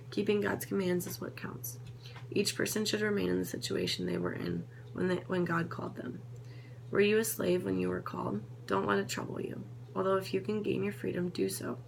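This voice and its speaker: A woman reading Bible passages aloud in a steady, unhurried way, now and then correcting a slip. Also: medium pitch, vocal fry, noisy background. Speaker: Bible reader.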